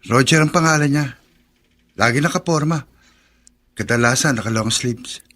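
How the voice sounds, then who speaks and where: An actor playing a kind, protective young neighbour in a Filipino radio drama, earnest and a little hesitant. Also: male voice, medium pitch, normal speed, fairly bright tone, close to an everyday voice. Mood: concerned.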